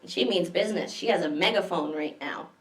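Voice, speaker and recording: A woman's voice: on the low side, brisk, far from the mic. A woman hosting a story time for small children, reading and explaining things simply, as a children's librarian does. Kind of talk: picture-book reading and simple craft demonstration for small children. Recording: echoey room, away from the mic.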